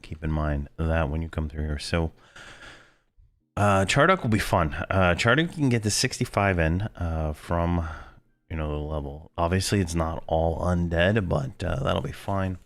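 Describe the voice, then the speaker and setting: A man commentating his own gameplay in a rambling, laid-back way, full of fillers, his voice hoarse from losing it. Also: sits low, normal speed, fairly bright tone, dry recording, clean studio sound. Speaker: game video host.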